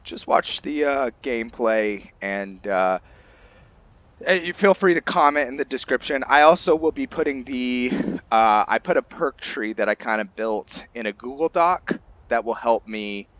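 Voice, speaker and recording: A man hosting a gaming video, giving casual, halting commentary in an informal, rambling way. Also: mid-range voice, animated, a bit bright, dry recording, lo-fi sound. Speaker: gaming channel host. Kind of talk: casual gameplay commentary introducing a roleplay character.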